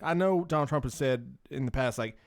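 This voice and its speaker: A man's voice: medium pitch, a little fast, vocal fry, no echo, clean studio sound. A man hosting a casual podcast discussion, slangy and informal, prodding the others with questions. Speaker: podcast host steering the discussion. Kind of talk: casual political banter.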